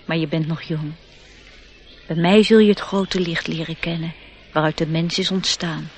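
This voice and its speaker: Performer as nature sprite, radio drama, knowing, disdainful, lecturing, mid-range pitch, faint background noise. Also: fairly even intonation, normal speed, natural voice. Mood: tutoring, assured. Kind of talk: radio play acting.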